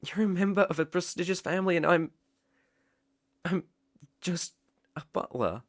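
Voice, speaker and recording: A man's voice: high voice, moderate pace, no echo. A man with a British accent playing a devoted, proper butler in an intimate ASMR romance roleplay, polite, deferential and hesitant. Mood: flustered, nervous. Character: young male butler, devoted, proper, flustered by love.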